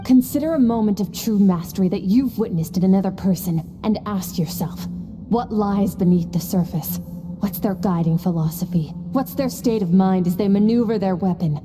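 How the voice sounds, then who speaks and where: A woman playing a stern, aloof master swordswoman in a video game, lecturing in a cool, measured, terse manner. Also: mid-range voice, long reverb tail, background noise, natural voice. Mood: calm, exacting. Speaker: sword instructor. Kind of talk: scripted video game voice acting.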